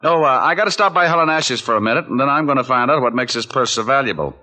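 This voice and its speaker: An American actor playing a breezy, flippant private detective in a 1940s radio drama, tossing off deadpan quips. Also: male voice, medium pitch, fast talker.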